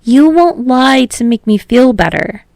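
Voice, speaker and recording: Woman putting on a high, perky little girl. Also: very animated, unhurried, creaky, darkish tone, no room sound, studio quality, heavily acted. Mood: eager. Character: bold, friendly, excitable young girl. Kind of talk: audiobook character voice.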